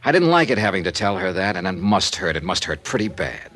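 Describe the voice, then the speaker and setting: An American actor playing a world-weary, blunt insurance investigator in a 1950s radio detective drama, clipped and matter-of-fact. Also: male voice, low-pitched, sing-song, fairly quick, a bit bright, full character voice. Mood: stern. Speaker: lead actor and narrator. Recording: old radio recording with music.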